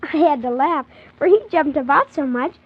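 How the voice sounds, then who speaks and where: Young boy narrator, played by an actor, high voice, earnest and polite; muffled, hissy 1940s radio storytelling. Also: normal speed, natural voice. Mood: wondering. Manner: animated, earnest, wondering narration.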